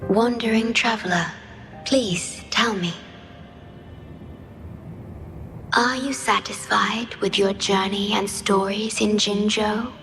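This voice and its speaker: An actress playing a serene, mysterious guardian in a video game, speaking softly and formally in a measured, gentle, devoted way. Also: female voice, mid-range voice, fairly even intonation, soft background music. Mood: gently curious. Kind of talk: scripted video game voice acting.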